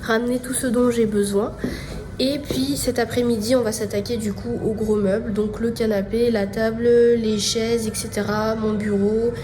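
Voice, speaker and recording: A woman's voice: a little high, little pitch movement, moderate pace, background noise. A young woman from France with a cold, chatting casually in a vlog while she tours and tidies her apartment, full of fillers. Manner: rambling, many fillers, gives a tour while tidying. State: congested with a cold. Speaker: vlogger. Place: apartment indoors.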